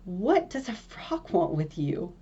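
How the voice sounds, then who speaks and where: A woman's voice: on the low side, very animated, slow, warm tone, breathy edge, highs cut off, exaggerated voice. A woman reading a fairy tale as a stern, fatherly, commanding king. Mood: concerned.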